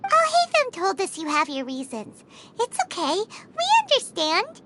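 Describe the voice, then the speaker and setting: A woman voicing a tiny, excitable floating female companion creature in a video game, bouncy and eager. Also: very high-pitched, a little slow, a little hiss or hum, full character voice. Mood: earnest. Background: game background music.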